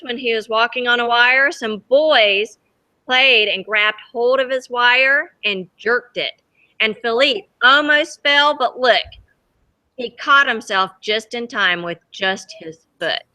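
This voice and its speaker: A woman teacher reads a picture book to young children. Her voice sits fairly high and bright, and she takes her time with dramatic phrasing. She often turns to her listeners with a question. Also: low-quality recording. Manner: expressive, dramatic, pauses to ask the children questions. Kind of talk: animated storybook read-aloud to a class. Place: school library with children.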